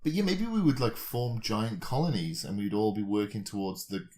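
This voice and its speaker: A man's voice: lowish voice, lively intonation, moderate pace, darkish tone. A man co-hosting a podcast, thinking aloud in a rambling, hesitant, dryly joking way. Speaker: podcast co-host. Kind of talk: casual chat, speculative musing.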